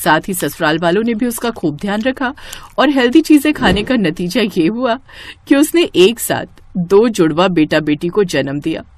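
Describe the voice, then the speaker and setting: A woman narrating a scripted moral story in an expressive, dramatic storytelling manner. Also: mid-range voice, moderate pace.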